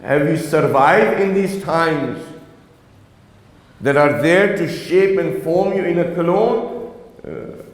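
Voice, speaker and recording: A man's voice: fairly high pitch, takes it slowly, long pauses. A man whose first language is Urdu, giving an earnest religious talk to young people and often checking in with them. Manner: earnest, exhorting, asks the audience "right?". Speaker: religious lecturer. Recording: echoey reverberant hall.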